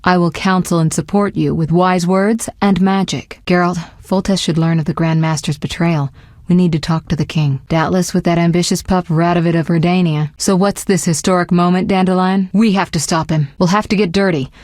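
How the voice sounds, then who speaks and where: A woman playing a composed, caring and resolute sorceress in a fantasy video game, with a measured, articulate and earnest delivery. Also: fairly low pitch, fairly even intonation, darkish tone, no room sound, studio quality, natural voice. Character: composed young adult sorceress, caring, sharp-witted, resolute.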